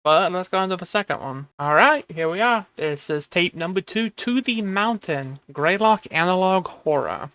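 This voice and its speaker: A video host giving casual reaction commentary, thinking aloud in a halting way. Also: mid-range voice, animated, fairly bright tone.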